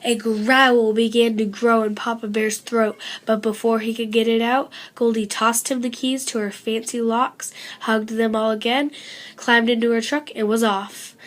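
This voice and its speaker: A woman's voice: fairly high pitch, level tone, a little slow. A woman narrating a children's storybook expressively as a read-aloud. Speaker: story reader.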